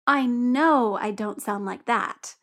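A man's voice: extremely high pitch, slow, fairly bright tone, heavily acted. A woman voicing a shy, self-doubting young man who answers hesitantly in a storybook reading. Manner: hesitant, distracted.

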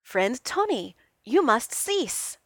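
A woman voicing a kind, booming man who speaks in a formal, archaic way. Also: very animated, unhurried, no echo, studio quality. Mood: worried. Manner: formal, old-fashioned phrasing, loud. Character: hearty formal man, archaic speech, kindly.

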